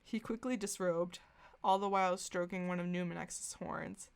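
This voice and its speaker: A woman's voice: lowish voice, fairly even intonation, moderate pace, gravelly, clean tone. A young woman co-hosting a podcast, reading aloud and chatting in an informal, amused way. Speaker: podcast co-host. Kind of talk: casual reading aloud of fan fiction and chat.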